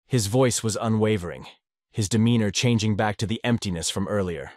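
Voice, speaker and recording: A man reading fan fiction aloud as an audiobook narrator, his voice low and even. He reads steadily and measuredly. The sound is dry, with no room around it. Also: brisk, dry recording.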